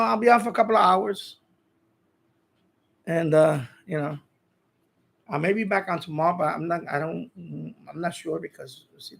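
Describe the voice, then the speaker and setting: A middle-aged man hosting a livestream in casual, rambling chat, halting often and repeating himself informally. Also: sits high, vocal fry, fairly bright tone. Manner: halting, repetitive, informal speech. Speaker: livestream host.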